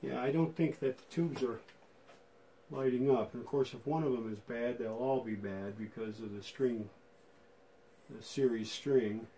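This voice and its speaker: A man's voice: medium pitch, measured pace, dark tone, slight background noise, poor audio. A man giving a casual hands-on demonstration as a vintage radio restorer, thinking aloud in an informal, tentative way.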